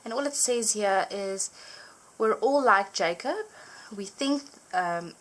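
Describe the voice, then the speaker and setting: A young woman whose first language is Afrikaans, chatting casually as she flips through her journaled Bible, full of little fillers and gentle enthusiasm. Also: medium pitch, lively intonation, slow pace, rough recording. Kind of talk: casual show-and-tell narration. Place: indoors at a table, hands over an open book.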